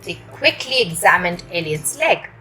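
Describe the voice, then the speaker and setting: A woman reading a children's story aloud in an expressive, deliberate storytelling manner. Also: on the low side, soft background music. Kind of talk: animated storytelling for young children.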